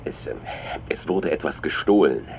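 An actor playing a rattled book publisher, hesitant and urgent, in a radio play. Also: male voice, lively intonation, normal speed. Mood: anxious, urgent. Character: rattled male book publisher bringing bad news. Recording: dull phone call sound, faint rumble.

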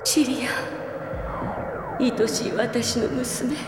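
Soft, breathy ghostly mother. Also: fairly high pitch, fairly even intonation, extremely slow, roomy sound, over loud music. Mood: tender, sorrowful. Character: dead mother's ghostly voice, tender and sorrowful. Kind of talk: Japanese anime audio drama acting.

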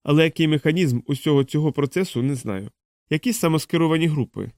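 A man's voice: on the high side, expressive, quick pace, darkish tone, dry recording, pitched above the performer's own voice. Cautious male cyberneticist for audiobook, read by a man, questioning, doubtful, dry close sound. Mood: resigned, doubtful.

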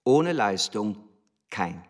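An actor playing an arrogant, scornful embassy official in a German radio drama, haughty and lecturing. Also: male voice, lowish voice, big pitch swings, very slow, heavily acted. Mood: sneering. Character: arrogant, scornful male embassy official berating his spy.

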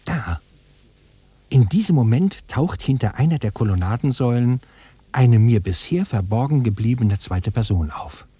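Deliberate observant guy narrator in an arty radio play, husky n mellow, pitch moves around a lot. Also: expressive, no room sound. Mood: intent observation. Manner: deliberate, detailed step-by-step reporting. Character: observant male narrator reporting his walk past colonnades. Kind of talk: avant-garde radio play monologue.

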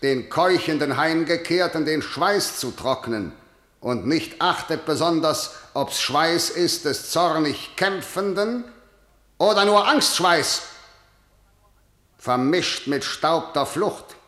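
A man's voice: fairly high pitch, lively intonation, slow, a bit bright. A man playing a stern, imperious ruler in a German verse radio drama, declaiming harsh law with commanding authority. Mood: proud oration. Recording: echoey hall with long reverb.

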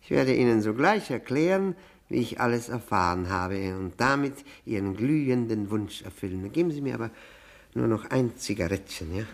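A bitter widower from a 1960s German radio play, acted by a man, in a medium-pitched male voice. He draws out his words with oily politeness. His self-abasement keeps tipping into sly, taunting insinuation. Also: expressive, close to an everyday voice. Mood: slyly confiding. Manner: mock-courteous, insinuating, with drawn-out words and needling questions.

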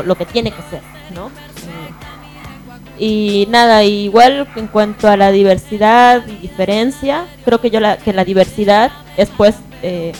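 A woman's voice: medium pitch, darkish tone. A woman with a Bolivian Spanish accent co-hosting a casual radio panel, informal and often checking for agreement. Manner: informal, often seeks agreement with tag questions. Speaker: radio show co-host. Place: radio booth.

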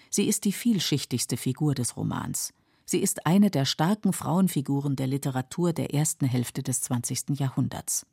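A woman narrating a scripted literary radio feature in a measured, explanatory manner. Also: low-pitched, dark tone, breathy edge, no room sound, clean studio sound. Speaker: radio presenter explaining a novel.

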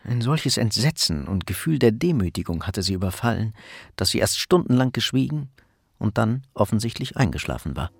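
A man's voice: lowish voice, sing-song, normal speed, creaky, breathy edge, dry recording, full character voice. A man narrating a crime radio play in a sober, scripted storytelling style.

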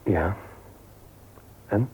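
An actor playing a mild, loving but cautious husband in a radio play, speaking in a measured, questioning way. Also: male voice, darkish tone, a little hiss or hum. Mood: patient. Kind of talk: Dutch radio play acting. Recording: muffled old radio play recording.

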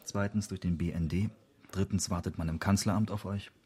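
A man's voice: deep voice, steady tone, brisk, dark tone, husky. A man playing an exasperated, sardonic police colleague in a radio crime drama, scolding with heavy sarcasm. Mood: sarcastic. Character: exasperated male police colleague, sardonic, grumbling but loyal.

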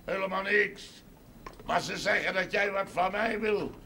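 An actor playing a defiant, blustering farmer, loud, sardonic and declamatory, in a television drama. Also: male voice, sits high, unhurried, gravelly, exaggerated voice. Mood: scornful. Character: defiant, blustering Limburg farmer facing land expropriation. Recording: noisy 1970s television soundtrack.

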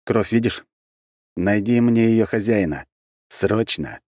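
A man's voice: fairly low pitch, expressive, takes it slowly, darkish tone, no room sound, studio quality, pitched above the performer's own voice. A man voicing a stern, impatient archmage hero in an audiobook, curt and commanding. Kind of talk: audiobook character voice.

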